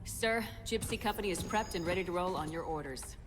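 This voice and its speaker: A woman's voice: lowish voice, brisk, over music, natural voice. A woman playing a tough, clipped military commander in a sci-fi game. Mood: brisk. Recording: echoing, distant, faint background noise.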